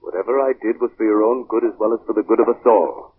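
A man playing a sly, mocking villainous gentleman and false friend in an old-time radio drama, suave and theatrical. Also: fairly low pitch, quick pace, natural voice. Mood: urgent, sinister. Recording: hissy, phone-like vintage radio recording.

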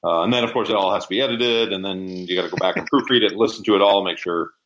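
A man's voice: deep voice, racing, fairly bright tone, low-quality recording. A man speaking as an interview guest, giving casual explanations in conversation. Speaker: interview guest, society co-founder.

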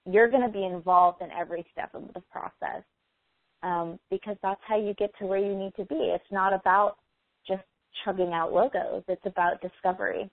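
A woman guest answering interview questions in a casual, earnest, halting way, often restarting her phrases. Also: medium pitch, steady tone, no echo. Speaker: brand designer and guest. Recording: tinny phone-like line, dry.

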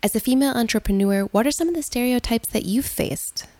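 A woman's voice: on the high side, fast talker, a little mellow, no room sound. Female podcast interviewer, dry sound. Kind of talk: prepared interview question.

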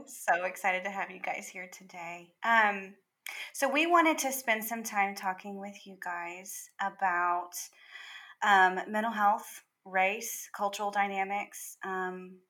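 A woman's voice: medium pitch, steady tone, slow, pushed and bright. A woman co-hosting a podcast conversationally, effusive and complimentary as she speaks. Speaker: podcast co-host.

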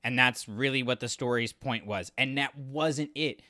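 A man's voice: medium pitch, normal speed, bright, forceful tone, no room sound, clean studio sound. A man co-hosting a podcast, talking through a story in a casual, analytical and explanatory way. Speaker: podcast co-host. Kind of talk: casual book discussion.

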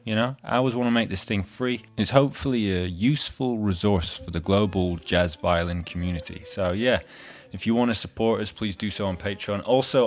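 A young man with a mixed Hull and Scottish accent, hosting a podcast and chatting casually, with lots of restarts. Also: on the low side. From born in Hull, raised in Scotland. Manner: chatty, with frequent restarts and fillers. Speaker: podcast host. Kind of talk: casual interview chat.

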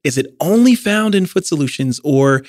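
A man's voice: fairly high pitch, expressive, moderate pace, darkish tone, no room sound, clean studio sound. A man hosting a podcast, chatty and upbeat as he interviews a guest. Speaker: podcast host. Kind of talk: casual interview.

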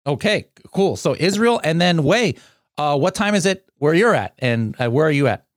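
A man's voice: a little high, expressive, normal speed, no echo, studio quality. A man hosting a podcast, chatting casually and joking with guests, with lots of fillers and restarts. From United States. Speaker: podcast host. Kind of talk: casual interview chat.

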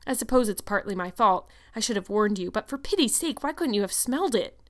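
A woman voicing a stern, practical older female guardian in a storybook, with a brisk, blunt, scolding manner. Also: medium pitch, animated, a bit bright, natural voice. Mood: exasperated. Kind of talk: storybook character voice.

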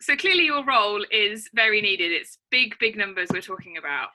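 A woman hosting a podcast from home. Her voice is very bright and sharp, and it sits at an even middle pitch. She asks questions in a friendly, eager way. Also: brisk, poor audio. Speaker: podcast host. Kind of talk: podcast interview.

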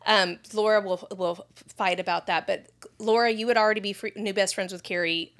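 A woman's voice: mid-range voice, fairly even intonation, brisk, a bit bright. A woman co-hosting a podcast in casual chat, with a playful, chatty manner. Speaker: podcast co-host.

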